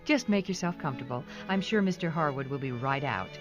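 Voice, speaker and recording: A polite secretary in a radio play, low voice, music behind, dull sound. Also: female voice, expressive, fairly quick. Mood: courteous. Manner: courteous, scripted politeness. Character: polite professional female receptionist secretary. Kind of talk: radio detective drama acting.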